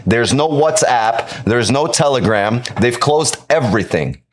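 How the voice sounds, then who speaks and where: A man's voice: mid-range voice, expressive, a little slow. A man from Ukraine hosting a live stream, talking casually and emphatically while answering chat, often repeating himself and adding "right?". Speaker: live-stream trading host.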